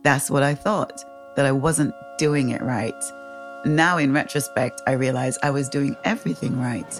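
Woman, 40s, very deep voice, candid storytelling, laughs often. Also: moderate pace, soft background music. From England, raised in the Caribbean. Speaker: guest sharing her story. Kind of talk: personal storytelling.

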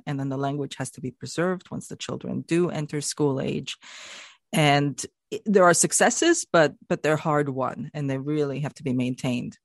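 A speaker in their forties talks through ideas in a thoughtful, explanatory way. The voice sits in a middle pitch. It is recorded close and dry, with no room sound. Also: no room sound. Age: 40s. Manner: thoughtful, explanatory, with light laughs. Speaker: guest discussing language loss. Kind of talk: reflective podcast conversation.

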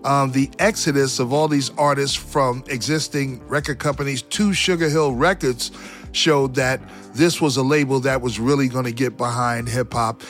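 A man's voice: mid-range voice, steady tone, normal speed, fairly bright tone. A middle-aged or older man, a musician, looking back reflectively as a guest in an interview. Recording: music playing behind the voice.

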